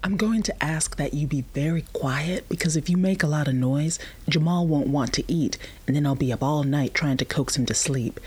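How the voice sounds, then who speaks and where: Tired, patient mother, played by a woman, husky breathy voice, plain gently firm explaining, audio drama. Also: low-pitched, normal speed, hardly any pauses. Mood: firm, weary. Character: patient, tired human mother of a nursing infant.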